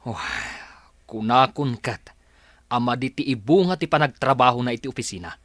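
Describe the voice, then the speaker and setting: A man's voice: medium pitch, moderate pace, a bit bright, dry recording, clean studio sound, natural voice. A man playing an evasive, unfaithful husband and grown son in an Ilocano radio drama. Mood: startled, defensive.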